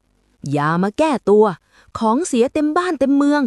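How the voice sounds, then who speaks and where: A woman voicing an angry young village man shouting accusations in a storybook tale. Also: sits high, very animated, normal speed, dry recording, studio quality. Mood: accusing.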